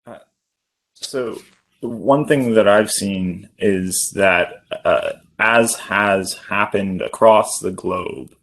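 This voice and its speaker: A man answering on a professional panel, slow and measured with some fillers, heard from a distant mic. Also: lowish voice, fairly bright tone. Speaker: panelist, attorney.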